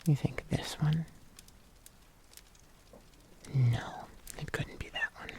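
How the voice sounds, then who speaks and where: An ASMR performer playing a kindly, patient locksmith, murmuring gently and unhurriedly to the listener, close to the microphone. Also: medium pitch, huge pitch swings, takes it slowly. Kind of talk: whispered ASMR roleplay.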